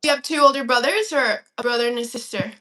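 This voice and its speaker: A woman's voice: on the high side, fast talker, pushed and bright. A young woman from China hosting a casual livestream chat over a video call, asking her guest questions. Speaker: livestream host.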